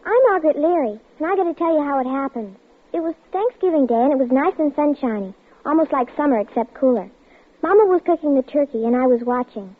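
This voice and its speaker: A woman's voice: high voice, lively intonation, fast talker, slight background noise. An actress playing an earnest, frightened little girl who narrates and begs to be believed, in a 1950s radio drama. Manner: breathless, pleading, near tears. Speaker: child narrator and lead. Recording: tinny old radio broadcast with music.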